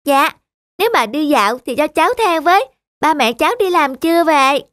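A woman's voice: high voice, expressive, brisk, a bit bright, no echo, studio quality. A woman playing an innocent, curious little schoolgirl in a cartoon, polite and earnest. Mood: friendly, curious. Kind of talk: dubbed cartoon dialogue.

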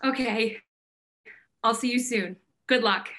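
Young woman doing a smitten blunt gallery owner in a play, highish voice, casual and gushy. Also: slow, pushed and bright. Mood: warm, excited. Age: young adult. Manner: casual, gushing, candid. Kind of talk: theatrical staged reading. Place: indoor room at home, video call.

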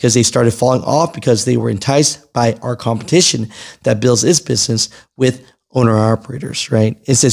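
A man's voice: mid-range voice, gravelly, a little mellow. A man in his thirties hosting an informal news report, conversational and emphatic, reading and commenting into a close microphone. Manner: conversational, emphatic, often tags points with "right" and "you know". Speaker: host of a trucking news channel. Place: small furnished room at home, indoors. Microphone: close broadcast microphone on a boom arm.

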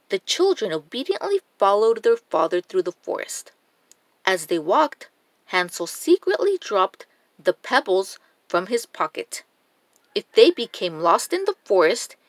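Slow, lively woman reading a storybook. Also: mid-range voice, no echo. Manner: slow, deliberate, expressive read-aloud delivery. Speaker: storyteller reading children's books. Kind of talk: scripted children's storybook narration.

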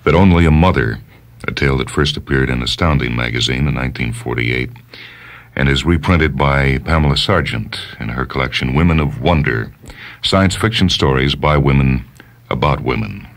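A man's voice: a bass voice, moderate pace. A man hosting a radio show, reading a scripted introduction to a story.

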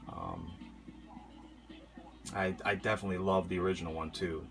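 A man with a deep, low voice talks casually in a show-and-tell vlog, filling pauses with plenty of ums and uhs. Music plays clearly in the background throughout. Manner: relaxed, many filler ums and uhs. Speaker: horror mask collector vlogger. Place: indoor room with horror posters. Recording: music playing clearly behind.

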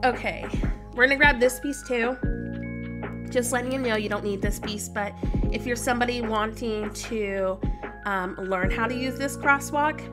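A woman's voice: a little high, moderate pace. A woman in her thirties, stuffy from a cold, chatting casually with viewers while livestreaming a game and greeting them by name. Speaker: streamer. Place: home room, indoors. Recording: music playing behind voice.

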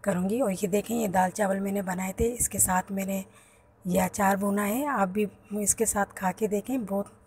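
A woman, hosting a home cooking channel and calmly talking through each step of a recipe. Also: medium pitch, normal speed, slight background noise. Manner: explains each step plainly to viewers. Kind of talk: home cooking tutorial voice-over. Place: home kitchen.

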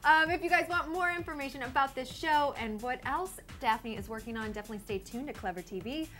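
A woman's voice: sits high, brisk, pushed and bright, faint music behind. A young American woman hosting an upbeat entertainment interview on a web show. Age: young adult. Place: small TV studio lounge.

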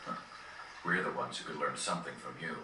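A man playing a calm, serious young rebel leader in a video game, recorded off a TV. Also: extremely low pitch. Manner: measured, serious. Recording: roomy, distant, noisy TV capture.

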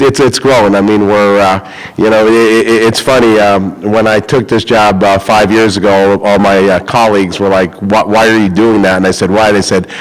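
A man's voice: on the low side, poor audio. A middle-aged man answering questions as head coach at a press conference, rambling with many fillers and restarts. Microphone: table microphone.

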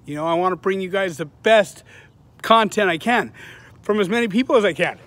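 A man's voice: sits high, a little fast, a bit bright. Man rambling earnestly outdoors into a phone. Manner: loose, rambling, profane, earnest. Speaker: vlogger, channel host. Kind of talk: casual selfie vlog to viewers. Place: outdoors on a sunny suburban street. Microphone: phone held at arm's length.

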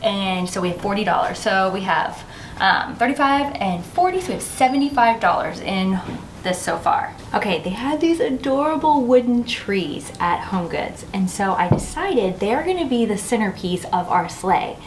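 A woman's voice: mid-range voice, animated, normal speed, slight background noise. A woman in her forties hosting a chatty home decor vlog, talking through a table setting in her dining room with a camera across the table. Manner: chatty, bubbly, self-teasing. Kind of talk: casual how-to vlog.

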